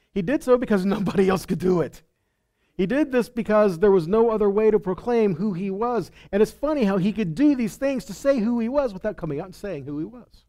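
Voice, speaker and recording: Male preacher, middle-aged, slightly high pitch, soft dark-edged tone, emphatic teaching delivery. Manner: emphatic, asks rhetorical questions and answers them. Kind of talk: teaching sermon with scripture readings. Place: indoor stage of a congregation hall. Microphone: headset.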